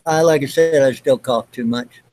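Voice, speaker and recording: A man joining a live video call, talking in a halting, hesitant way. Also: mid-range voice, dark tone, a little hiss or hum. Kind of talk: casual chat.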